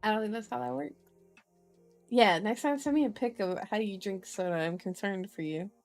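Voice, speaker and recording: A woman streamer reads aloud in an ASMR style with faint music behind her. Her voice sits at an average pitch. She is playful and informal, slipping between narration and casual chat and correcting herself as she goes. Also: normal speed, gravelly, darkish tone. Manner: playful, informal asides, self-correcting while reading. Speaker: streamer, reader. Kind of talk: ASMR book reading with casual chat to viewers. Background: background music.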